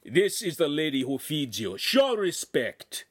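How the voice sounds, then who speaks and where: A man plays a big, bullying master of a workshop in a storybook. He speaks loudly and commandingly, pitched up into a bellow, scolding like someone used to being obeyed. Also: very animated, a little slow, husky, heavily acted. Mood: angry. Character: big gruff bullying Italian master craftsman, bellowing husband.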